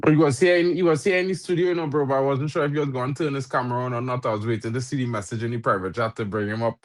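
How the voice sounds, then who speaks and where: A man hosting a live football watch-along, reacting loudly to chances and chatting with guests, English not his first language. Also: mid-range voice, steady tone, rapid-fire. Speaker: watch-along stream host.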